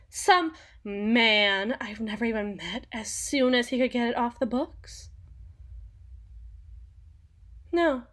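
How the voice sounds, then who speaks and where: Woman acting a slow, high-voiced haughty empress. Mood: betrayed, indignant. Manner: imperious, theatrical, formal archaic diction. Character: haughty, sharp-tongued young empress, possessive and indignant. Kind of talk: dramatic ASMR audio roleplay monologue.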